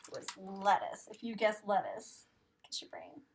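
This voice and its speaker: A woman teacher reading a picture book aloud to young children, expressive and sing-song, pausing to ask guessing questions. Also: mid-range voice, gravelly, recorded from a distance, background noise.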